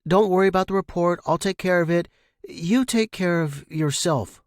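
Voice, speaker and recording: Man voicing a concerned lady boss, pitched up. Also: moderate pace, no echo, clean studio sound, close to an everyday voice. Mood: concerned, placating. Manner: questioning, placating. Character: concerned female office boss, probing but soothing. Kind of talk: audio fiction character dialogue.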